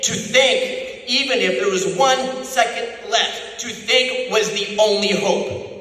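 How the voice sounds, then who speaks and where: A man giving a dramatic literary reading as narrator, intense and theatrical, with suspenseful pauses. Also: fairly high pitch, bright, forceful tone. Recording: very echoey, reverberant room.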